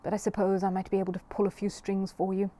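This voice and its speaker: A woman voicing a matey, excitable male repairman with colloquial, exclamatory speech, in a storybook. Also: medium pitch, fast talker, close to an everyday voice. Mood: doubtful.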